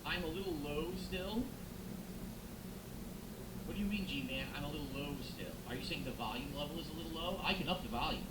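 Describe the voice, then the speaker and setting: Man, streamer, casual chat, thanking viewers, a bit high-pitched, close mic, echoey room, noisy hiss. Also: a little fast. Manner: chatty, thanking viewers, musing aloud. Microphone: close XLR microphone. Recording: echoey room with background noise.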